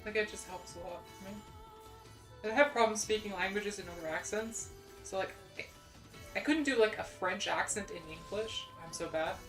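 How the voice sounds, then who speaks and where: A woman's voice: mid-range voice, normal speed, soft background music, poor audio. Rambling young Midwestern woman streamer. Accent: General American (Midwestern). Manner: rambling, many "like"s and restarts, self-deprecating. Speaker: VTuber streamer. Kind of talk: casual stream chat.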